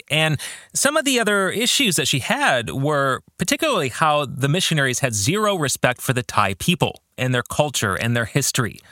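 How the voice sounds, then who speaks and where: A middle-aged man hosts a reflective podcast monologue on his own, speaking earnestly and deliberately and stretching phrases for emphasis. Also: a little high, pushed and bright, no room sound, clean studio sound. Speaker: podcast host and author. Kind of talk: reflective monologue about faith and fiction.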